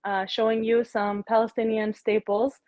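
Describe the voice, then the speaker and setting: A woman's voice: fairly high pitch, level tone, normal speed, darkish tone, no room sound. A woman from Texas with a slight Texan twang, chatting friendly and talkatively as she introduces her cooking. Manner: friendly, talkative, with ums and uhs. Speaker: home cook introducing herself. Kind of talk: casual cooking demo.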